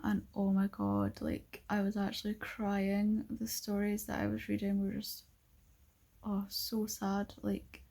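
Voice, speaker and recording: A woman's voice: medium pitch, monotone, unhurried, creaky, warm tone. A young woman vlogging in a casual chat to the camera, rambling and filling her talk with "like" and "um". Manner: rambling, full of "like" and "um".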